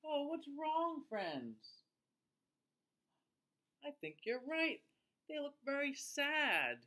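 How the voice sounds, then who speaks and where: Male, thirties, children's read-aloud teacher; swooping intonation, very unhurried, exaggerated delivery. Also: extremely high pitch. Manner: animated, exaggerated, drawn-out delivery with gasps. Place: small indoor room, seated facing camera.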